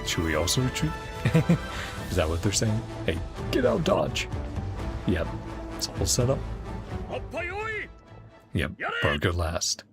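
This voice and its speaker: Male game streamer, very lively pitch swings, gravelly edge, playful casual reactions over faint music. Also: slow, pauses often. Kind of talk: casual gameplay reaction and reading game text aloud.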